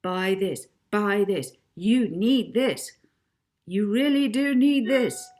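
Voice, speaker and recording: A smug television advert voice, performed by a woman reading a storybook, pitched up and stretched out slowly. It is catchy, sing-song and insistently persuasive. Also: expressive, darkish tone. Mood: insistent selling.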